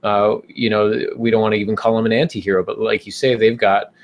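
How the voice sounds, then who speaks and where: Male podcast host, slightly low voice, measured and reassuring, feeling his way through casual advice. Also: level tone, vocal fry, muffled recording.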